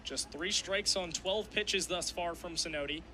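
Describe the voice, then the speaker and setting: A man's voice: high-pitched, unhurried, bright, forceful tone, a little hiss or hum. A young man doing radio play-by-play of a live game in clipped, descriptive phrases. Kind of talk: live sports commentary.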